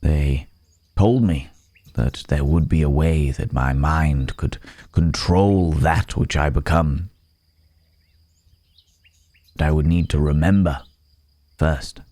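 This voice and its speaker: A man playing a weary, remorseful werewolf in human form, speaking haltingly and gravely in an intimate ASMR roleplay. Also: a bass voice, dark tone, dry recording, full character voice. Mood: pensive, explaining.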